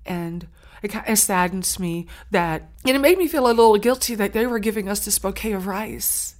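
A woman from Saint Helena Island whose first language is Gullah, telling her story earnestly as an interview guest. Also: medium pitch. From Saint Helena Island, South Carolina. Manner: earnest, heartfelt, with frequent pauses and restarts.

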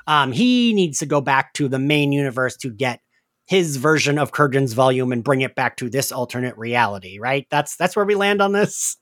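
A man's voice: mid-range voice, animated, normal speed, fairly bright tone, no room sound, clean studio sound. A man chatting casually as a podcast co-host, often quoting his own reactions in a conversational way. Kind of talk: casual recap chat.

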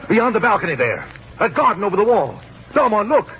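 An impetuous, smitten young male duke speaks with romantic urgency, very high and bright. It is 1940s radio comedy with narrow broadcast sound. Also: sing-song, brisk. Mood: smitten, excited. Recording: band-limited 1940s radio broadcast, faint noise.

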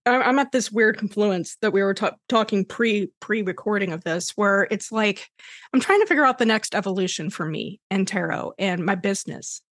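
A woman who reads and teaches tarot, talking on a podcast in a thoughtful, halting way. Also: medium pitch, animated, fairly bright tone, dry recording, clean studio sound. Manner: thoughtful, halting, restarts often. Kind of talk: casual podcast chat.

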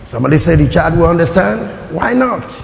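Nigerian man preaching a sermon, high-pitched voice, animated and calling out to the congregation, dull lo-fi recording. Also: fairly quick, creaky, slight background noise. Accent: Nigerian English. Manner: animated preaching, calls for audience response. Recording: echoey hall, dull lo-fi sound.

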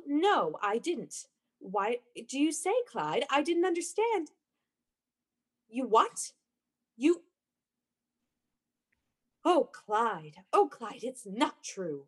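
A woman playing a lovesick, obsessive jilted young woman in a melodramatic radio play, halting and pleading. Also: on the high side, slightly rough, exaggerated voice. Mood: anxious, heartbroken.

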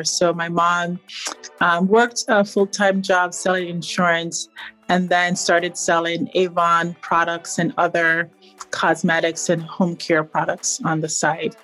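Low-voiced woman from Barbados, candid. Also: a bit bright, poor audio. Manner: candid, reflective, many fillers and restarts. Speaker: psychologist and startup founder. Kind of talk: conversational interview answer.

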